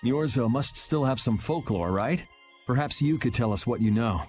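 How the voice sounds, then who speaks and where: A man's voice: mid-range voice, lively intonation, normal speed, soft background music, natural voice. A man playing a frank young adventurer in a video game, casual and direct. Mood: earnest.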